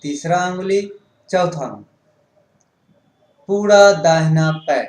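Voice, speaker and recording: Male yoga instructor, fairly high pitch, very unhurried delivery of short guided-meditation cues. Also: darkish tone. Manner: instructing in short, repetitive phrases. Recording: roomy, echoing sound.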